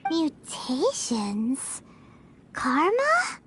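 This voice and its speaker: A woman voicing a tiny, childlike fairy companion in a video game, squeaky, bubbly and excitable, speaking of herself by name in an expressive, exclamatory way. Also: drawn-out words, a little hiss or hum, full character voice. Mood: curious, surprised. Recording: game music behind the voice.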